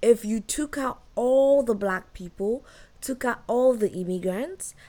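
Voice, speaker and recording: A woman's voice: on the high side, unhurried, warm tone. A woman with a Nigerian accent co-hosting a podcast, reflecting aloud and giving her opinions in a casual way. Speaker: podcast co-host. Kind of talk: casual conversational discussion.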